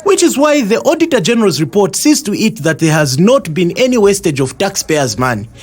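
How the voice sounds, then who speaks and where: Animated, high-voiced Zambian man, earnest. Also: brisk. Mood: earnest explaining. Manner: emphatic, explanatory. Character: earnest, indignant Zambian man explaining civic matters to friends. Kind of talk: scripted educational audio drama.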